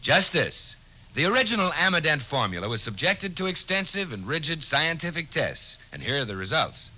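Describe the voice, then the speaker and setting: A man's voice: on the high side, sing-song, moderate pace, bright, forceful tone. A man announcing an earnest, persuasive 1940s radio commercial.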